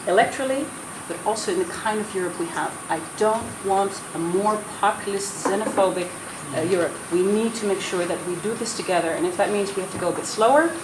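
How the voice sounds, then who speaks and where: A woman's voice: mid-range voice, fairly quick, hardly any pauses. A Dutch woman with an American accent, speaking earnestly and persuasively as a panelist at a roundtable. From Netherlands, raised in the US. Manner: earnest, persuasive, many restarts. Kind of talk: roundtable speech. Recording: echoey room with noise.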